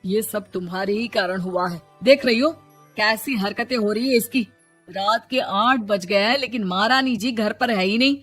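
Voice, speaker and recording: A woman voicing a stern, disapproving elderly grandmother in a family moral story, husky, blunt and scolding. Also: medium pitch, moderate pace, fairly bright tone, faint music behind, close to an everyday voice.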